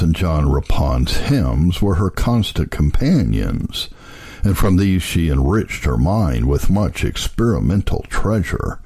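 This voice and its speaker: Male narrator, very low and dark-toned, for solemn devotional readings; measured, earnest, husky delivery. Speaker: narrator reading historical religious texts. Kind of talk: solemn audiobook-style reading of an old religious text.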